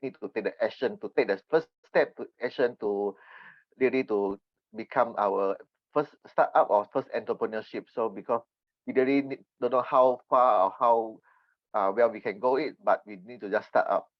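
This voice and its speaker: A Malaysian man, a native Mandarin speaker, answering podcast interview questions earnestly and haltingly as he searches for words. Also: medium pitch, dry recording. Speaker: podcast guest. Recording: dull, slightly lo-fi remote connection.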